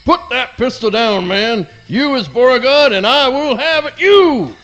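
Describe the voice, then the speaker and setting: A man's voice: extremely high pitch, expressive, measured pace, a bit bright, muffled recording, faint music behind, exaggerated voice. A man playing a pompous, melodramatic, devoted hound dog in a comic radio play, declaiming in florid theatrical style. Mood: indignant bluster.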